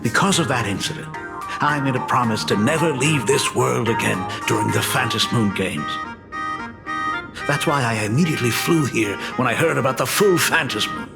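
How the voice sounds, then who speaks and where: A man's voice: medium pitch, normal speed, creaky, close to an everyday voice. A middle-aged actor playing a polite, hesitant male school dean and father in a video game. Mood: measured. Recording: reverberant game audio with music.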